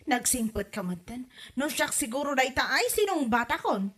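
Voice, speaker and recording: A woman's voice: high-pitched, very animated, moderate pace, fairly bright tone, heavily acted. A woman playing a gossipy female friend who is indignant on the young wife's behalf in a comedy drama. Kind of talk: comedy radio drama acting.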